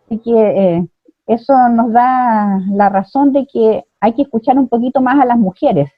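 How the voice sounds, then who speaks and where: A woman's voice: a little high, no room sound. Chilean woman host on a tinny line. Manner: measured, with frequent hesitations. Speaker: interview host. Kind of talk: interview questions and comments. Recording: dry tinny phone-like line.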